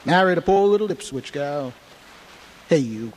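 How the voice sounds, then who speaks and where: A man performs a crazed old drunk from a town in a horror radio play. His voice is high and cackling, his talk folksy, rambling and agitated. Faint ambience sits behind him. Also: animated, normal speed, a little hiss or hum, lightly acted. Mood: raving, hysterical. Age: older. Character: crazed, frightened old drunken New England townsman. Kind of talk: audio horror drama acting.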